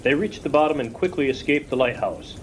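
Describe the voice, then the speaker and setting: A man's voice: a little high, lively intonation, brisk. A man giving scripted narration for a radio play as its narrator. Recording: noisy rain and storm ambience.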